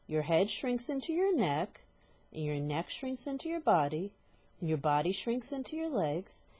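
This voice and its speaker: A woman voicing a nasty old husband who taunts his wife in a loud, shouty way. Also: mid-range voice, big pitch swings, normal speed, lightly acted. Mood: gloating taunts.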